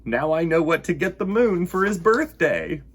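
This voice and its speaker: An eager, innocent storybook bear, put on by a man, pitched far up high. The bear is childlike and excitable, calling out in swooping, drawn-out phrases. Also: husky. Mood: excited.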